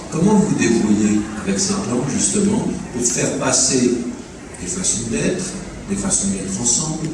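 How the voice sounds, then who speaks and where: Casting: male journalist hosting a literary interview, mid-pitched, measured phrasing, clear noise around a distant, echoing voice. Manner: measured, builds questions in stacked phrases. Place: large public hall at a book fair. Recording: echoey hall, distant, clearly noisy.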